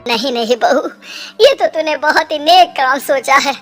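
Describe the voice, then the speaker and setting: A woman's voice: high-pitched, normal speed, a bit bright, soft background music. A woman voicing an affectionate older mother-in-law in a cartoon story, coaxing and fussing with many exclamations. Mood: reassuring.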